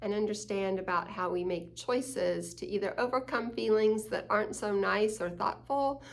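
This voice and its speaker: A woman's voice: medium pitch, normal speed, creaky. A woman hosting a children's story time, reading a picture book aloud and explaining it with questions to the young listeners.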